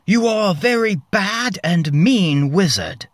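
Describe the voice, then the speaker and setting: A man voicing an earnest, indignant boy wizard hero with a loud, savage delivery for a story reading. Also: sits high, expressive, takes it slowly, no echo, voice pushed up, exaggerated voice.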